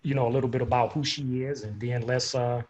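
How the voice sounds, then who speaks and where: A man hosting a monthly webinar, upbeat and quick to laugh with his guest. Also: mid-range voice, little pitch movement, a little mellow. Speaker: webinar host.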